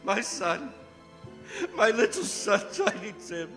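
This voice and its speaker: Man playing a high-voiced, gentle grieving father. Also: sing-song, exaggerated voice. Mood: grieving, tender. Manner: soft, halting, sorrowful. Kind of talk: stage radio drama acting. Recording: reverberant theatre hall, music underneath.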